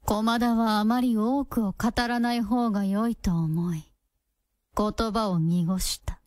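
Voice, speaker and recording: Unhurried woman narrating a drama. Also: mid-range voice, fairly even intonation, darkish tone, dry recording, studio quality. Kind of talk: scripted radio drama narration.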